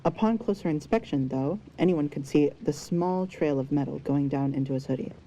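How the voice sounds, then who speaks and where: A woman's voice: low-pitched, moderate pace, dark tone, muffled recording. A woman narrator reading scripted fan fiction as an audio story. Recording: faint background noise and ambience.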